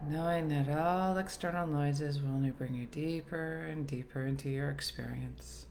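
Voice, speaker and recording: A woman leading a guided meditation in a soothing, gentle, unhurried manner with long pauses. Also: deep voice, steady tone, creaky, a little hiss or hum. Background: soft background music.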